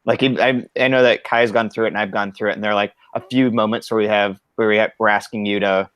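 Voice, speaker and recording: A man's voice: on the low side, fairly even intonation, fast talker, a bit bright, clear, resonant tone, no room sound. Chatty male podcast host, dry sound. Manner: enthusiastic, lots of "like" fillers. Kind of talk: casual podcast chat.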